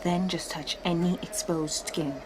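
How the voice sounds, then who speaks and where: An actress playing a discreet, loyal woman secretly helping out in an audio drama, speaking carefully and precisely in a hushed way. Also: fairly low pitch, steady tone, unhurried, lightly acted. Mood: hushed, earnest. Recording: clear background noise and ambience.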